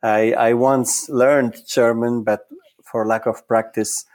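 A man from Italy speaks, with Italian as his first language. His voice sits at a middling pitch for a man. He gives careful, measured interview answers and often stalls on repeated words before carrying on. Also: little pitch movement, a little mellow. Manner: halting, with many repeated words and restarts. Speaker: psychoanalyst guest.

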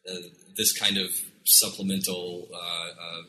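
A man's voice: low-pitched, level tone, unhurried, bright, forceful tone, a little hiss or hum, rough recording. A man interviewing a guest, asking his questions hesitantly with frequent restarts.

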